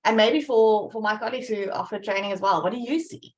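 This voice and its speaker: A woman's voice: medium pitch, a little fast, a little mellow. A woman with a mid-range voice speaks over a video call. She runs a training program and puts a question to the room, in a searching, conversational way with a few fillers. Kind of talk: asking a question in a virtual session.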